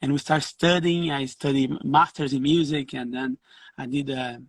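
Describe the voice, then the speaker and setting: A middle-aged man from Brazil, a guest musician telling his story in a casual interview over a video call, halting and restarting his phrases. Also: mid-range voice, steady tone, dry recording.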